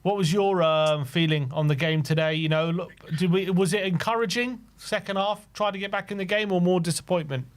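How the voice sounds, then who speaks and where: A man's voice: sits high, brisk, hardly any pauses. A man hosting a fan phone-in show, chatting casually with callers and asking loose, stumbling follow-up questions. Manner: easygoing, probing questions with stumbles and fillers. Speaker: host.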